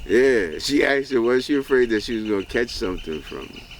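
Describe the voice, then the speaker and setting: A farmer telling his life story in a relaxed, casual way, with a laugh now and then. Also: medium pitch, fairly quick, gravelly, a little hiss or hum. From New Hampshire.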